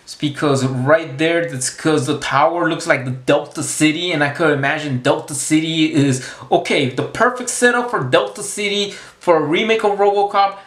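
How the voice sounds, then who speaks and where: A man's voice: a little high, moderate pace, hardly any pauses, a bit bright, roomy sound. A man in his thirties rambling to camera in a casual monologue, often repeating words and restarting his sentences. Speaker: vlogger. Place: small room indoors against a plain wall.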